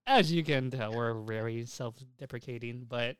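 A man's voice: mid-range voice, normal speed, very noisy. A young man co-hosting a casual anime news podcast, rambling along and swearing freely. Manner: profane, rambling. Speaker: podcast co-host.

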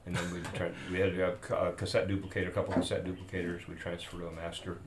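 A man's voice: deep voice, monotone, quick pace. A man around seventy, raised in South America, chatting casually and rambling through stories with many restarts. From born in Peru, raised in Bolivia. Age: about 70. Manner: rambling, trails off and restarts mid-thought. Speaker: podcast guest. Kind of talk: casual chat, storytelling. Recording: roomy with faint noise.

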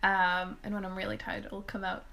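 A young woman from Canada, born in Quebec with French as her first language, chatting casually as an interview guest, animated, with many "like" fillers. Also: medium pitch, a little fast, creaky, a crowd in the background. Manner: animated storyteller, many "like" fillers, laughs often. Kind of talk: casual conversation.

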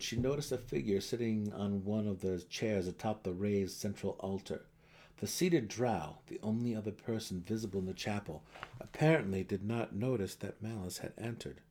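A man's voice: lowish voice, moderate pace, a little mellow. A man narrating a fantasy audiobook dramatically, with theatrical emphasis.

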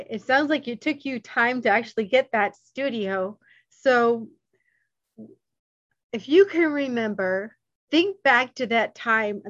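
A woman's voice: on the high side, a bit bright, dry recording. A woman hosting a podcast who reads a formal introduction and then asks questions in a friendly, chatty way. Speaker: podcast host. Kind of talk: podcast interview. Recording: dry, dull lo-fi sound.

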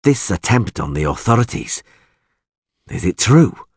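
A man acting out a battered, impatient monster hunter for a fantasy audiobook. He is blunt and foul-mouthed, with a husky edge and an animated, swinging pitch. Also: unhurried, dry recording, exaggerated voice. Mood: grim, uneasy. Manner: blunt, cursing, barking questions. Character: gruff male monster hunter, groggy, impatient, cursing.

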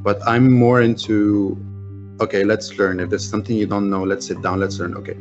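A man's voice: lowish voice, level tone, normal speed, dull audio, over music. A man from Egypt, a French speaker since school, talking earnestly as an interview guest, with many restarts. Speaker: interview guest, business owner. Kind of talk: casual interview talk.

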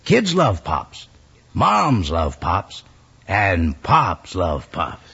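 An actor playing a folksy, chummy cowboy pitchman with a husky voice in a 1950s radio advertisement. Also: wildly swooping pitch, very slow, pushed and bright, full character voice. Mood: cheerful, selling. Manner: folksy, emphatic, hard-selling. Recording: dull old radio recording.

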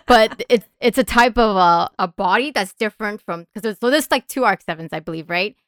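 A woman's voice: medium pitch, brisk, clean tone, no echo. A woman talking casually as a podcast guest, informal, giggly and a little self-deprecating. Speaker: podcast guest and group member. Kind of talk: casual chat.

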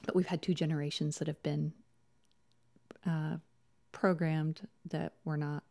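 A woman's voice: low-pitched, level tone, unhurried, creaky, darkish tone. A woman co-hosting a casual political chat show, speaking in an informal, opinionated manner. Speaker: talk show co-host.

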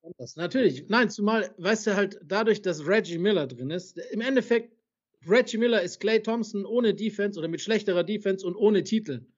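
Man with a high voice, heated and opinionated, quick stat lists, slightly dull podcast audio. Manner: passionate, rattles off stats, swears for emphasis; lots of fillers and tag questions. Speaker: podcast co-host. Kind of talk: casual basketball debate.

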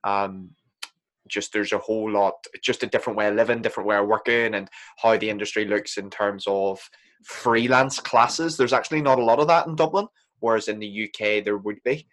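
A man's voice: on the low side, fairly quick, a bit bright. A man hosting a podcast, chatting casually with a guest and often restarting his sentences. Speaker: podcast host. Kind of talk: casual interview chat.

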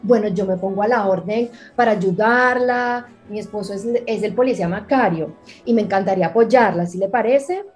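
A woman's voice: mid-range voice, normal speed, few pauses, soft background music, lightly acted. A woman playing a friendly, eagerly helpful policeman's wife in a Spanish-language radio soap.

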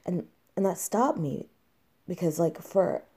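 A woman's voice: fairly low pitch, sing-song, unhurried, mellow, slightly rough. A young woman hosting a candid solo podcast, talking with many fillers and restarts and sounding a little nasal from congestion. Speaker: podcast host.

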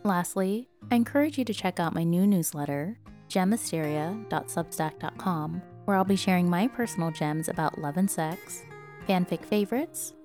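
A woman reading fiction aloud as a podfic narrator in an expressive, scripted storytelling style. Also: on the low side, moderate pace, soft background music.

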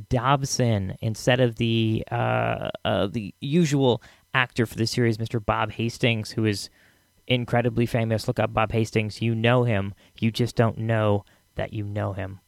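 A man hosting a podcast with a casual, halting, rambling introduction. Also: mid-range voice, steady tone, gravelly, dry recording. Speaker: podcast host.

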